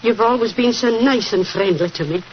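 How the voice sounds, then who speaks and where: A woman's voice: medium pitch, a little fast, lightly acted. A muffled old radio recording with faint noise carries an actress playing an older British landlady. She is kindly and deferential, speaking in a hesitant, apologetic, fussing way. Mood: apologetic, anxious. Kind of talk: 1940s radio drama acting.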